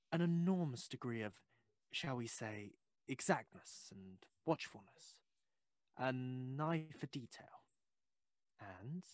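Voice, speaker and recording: A male actor playing a courteous, inquisitive Victorian gentleman in an audio drama, hesitant and effusive with old-fashioned phrasing. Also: fairly high pitch, no room sound.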